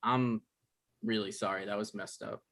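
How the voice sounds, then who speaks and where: A man's voice: on the low side, steady tone, vocal fry, a bit bright. A man playing an awkward, apologetic young man who turns dryly sarcastic when cornered, in a comedy table read. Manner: hesitant, halting, dry.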